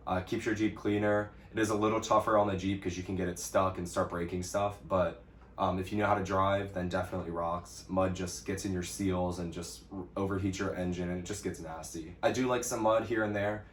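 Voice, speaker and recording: Low-voiced American guy in an echoey space. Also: little pitch movement, brisk. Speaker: off-road vlog co-host. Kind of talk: casual question-and-answer chat. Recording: roomy echo with some background noise.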